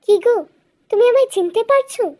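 A woman's voice: very high-pitched, expressive, normal speed, warm tone, pitched above the performer's own voice. A woman voicing a small, loyal magical bird in a cartoon fairy tale, earnest and caring. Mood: eager.

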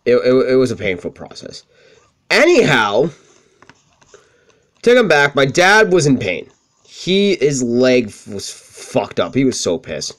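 A young man with a high-pitched voice, swearing freely as he tells rambling stories over gameplay. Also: huge pitch swings, a little slow, long pauses, fairly bright tone. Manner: profane, rambling, self-deprecating. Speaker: let's play commentator. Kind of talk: casual gameplay commentary with storytelling.

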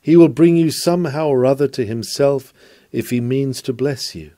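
A man reads a sermon aloud in an earnest, exhorting manner, with formal, measured oratorical phrasing and searching rhetorical turns. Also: mid-range voice, dark tone, no room sound, studio quality. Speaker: reader of a historic sermon.

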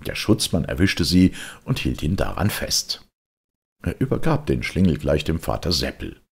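Male audiobook narrator: very low, creaky and raspy, dramatic children's storytelling. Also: sing-song, moderate pace. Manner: dramatic, addresses listeners directly.

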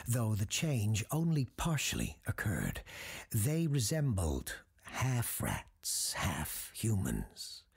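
An actor playing a grave, scholarly doctor who recounts dark legends in a horror audio drama, husky and ominous, with deliberate dramatic pauses. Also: male voice, on the low side, heavily acted. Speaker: character host telling a tale.

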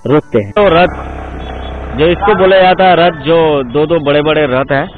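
A man's voice: a little high, unhurried. A young man chatting casually while riding a motorcycle outdoors, keeps saying "guys" and asks viewers for support. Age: young adult. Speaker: motovlogger. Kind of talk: casual ride commentary. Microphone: action camera on the bike. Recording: tinny phone-like sound with music behind.

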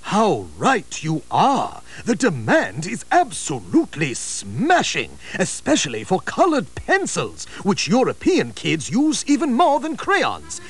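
A man voicing a jolly, upper-class English crayon gentleman with an English accent and an exaggerated, plummy delivery. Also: high-pitched, wildly swooping pitch, slow pace, hardly any pauses, full character voice.

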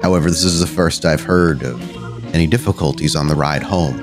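Vampire prince for a tabletop horror game, played by a male storyteller: low, measured, formal, quietly powerful, faint music underneath. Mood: composed, gently playful. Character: composed, powerful vampire prince of Chicago, subtly playful.

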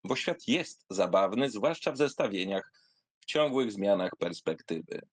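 A man giving a theatrical reading of a literary memoir, in an expressive, wry, conversational storytelling manner. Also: on the low side, measured pace, slightly rough, no room sound. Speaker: narrator reading a literary essay aloud.

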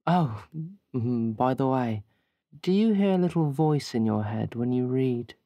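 A man's voice: medium pitch, animated, unhurried, dry recording, dull audio. A man voicing a weary, evasive female ghost in a tabletop game, hesitant and cryptic. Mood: cryptic, sleepy.

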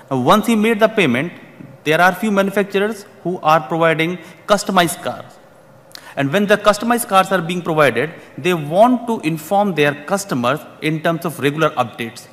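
A man in his thirties delivers a formal keynote at a podium microphone in a large conference hall. His voice sits a little high, and he often repeats a word or two as he restarts a phrase. The hall adds a long, lingering reverb. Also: animated, moderate pace, fairly bright tone. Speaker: keynote speaker.

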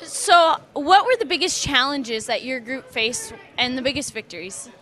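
A woman's voice: sits high, animated, moderate pace, a bit bright. A woman in her twenties working as a student interviewer, asking prepared questions into a handheld microphone. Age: 20s. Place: large glass-walled university hall or lobby. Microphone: handheld interview microphone at arm's length.

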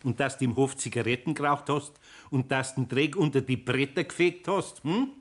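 An actor plays an older Bavarian master craftsman in a vintage radio play. He speaks in a mid-pitched voice, unhurried and homely. He is kindly but sly, gently admonishing. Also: lightly acted. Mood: probing, stern. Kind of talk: 1960s radio play acting.

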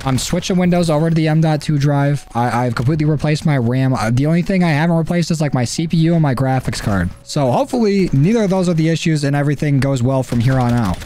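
A young man in his twenties talks casually over gameplay, his voice hoarse and not fully back. He rambles and swears now and then into a headset at home. A faint hum of ambience sits behind him. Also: on the high side, lively intonation, fast, hardly any pauses, dark tone. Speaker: gaming YouTuber. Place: home gaming setup, indoors.

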